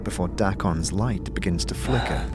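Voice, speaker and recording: A man narrating a scripted fantasy audio drama in the second person, dramatic and deliberate. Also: deep voice. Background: music.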